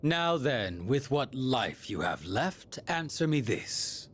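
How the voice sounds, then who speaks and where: An actor playing a coldly courteous game villain with formal, archaic, taunting speech who preaches like a false prophet. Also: male voice, mid-range voice, very animated, slow pace, fairly bright tone, full character voice. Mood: menacing, taunting. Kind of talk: English video game voice acting. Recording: music under the voice.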